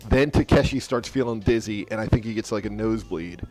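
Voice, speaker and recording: Loud, hesitant man recalling a game plot. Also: fairly low pitch, fairly quick, soft background music. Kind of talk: casual chat recapping a visual novel.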